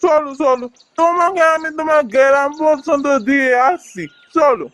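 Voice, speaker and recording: A woman's voice: sits high, normal speed, a bit bright. A woman playing a kind, earnest, hard-working village husband in a fairy-tale cartoon. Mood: concerned, kindly. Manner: earnest, caring. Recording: music behind the voice.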